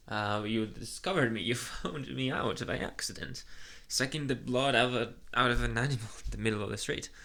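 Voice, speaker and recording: A man voicing a shy, flustered young vampire boyfriend in a soft ASMR roleplay, hesitant and stammering with nervous energy. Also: mid-range voice. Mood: awkward, earnest. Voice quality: soft, breathy at times.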